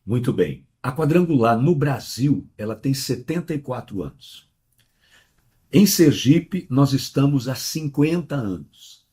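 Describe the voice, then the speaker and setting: A man's voice: medium pitch, expressive, warm tone, breathy edge. A middle-aged man, a pastor, speaking earnestly in an interview with a mixed Brazilian Portuguese accent and telling stories. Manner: earnest, measured, tells anecdotes with quoted voices. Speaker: pastor and church president. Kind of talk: interview guest, conversational storytelling.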